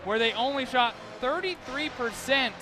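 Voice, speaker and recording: A man's voice: very high voice, lively intonation, moderate pace, music in the background. An American man doing live basketball play-by-play as an announcer, describing the action in running detail from courtside in a big arena. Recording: loud crowd and arena noise behind.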